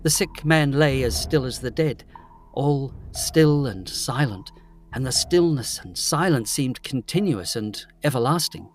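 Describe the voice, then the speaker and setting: An actor playing an uneasy, impressionable gentleman, giving brooding first-person narration in a gothic audio drama. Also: medium pitch, animated, measured pace, soft background music, lightly acted. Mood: haunted, brooding. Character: uneasy, impressionable gentleman recounting eerie nights.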